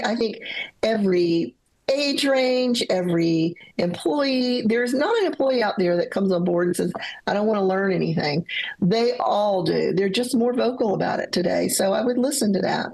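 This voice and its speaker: A woman's voice: mid-range voice, creaky, a little mellow, poor audio. A middle-aged woman, a leadership coach as guest, speaking earnestly and upbeat, often agreeing. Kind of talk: casual conversational interview.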